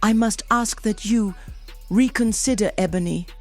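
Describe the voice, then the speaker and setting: A woman's voice: medium pitch, normal speed, soft background music, lightly acted. A woman playing the motherly, solemn wife of a werewolf chief, earnest and dramatic in a fantasy audio drama. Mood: earnest, pained.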